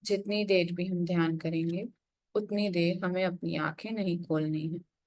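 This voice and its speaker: A woman leading a guided meditation, giving instructions in an unhurried way with frequent pauses. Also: on the low side, fairly even intonation, a little mellow.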